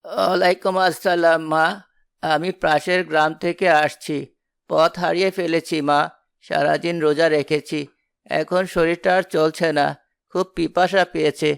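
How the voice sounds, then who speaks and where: A man voicing a humble, weary old beggar in a cartoon, creaky and pleading. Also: on the high side, level tone, a little slow, no room sound, studio quality. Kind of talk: cartoon dialogue.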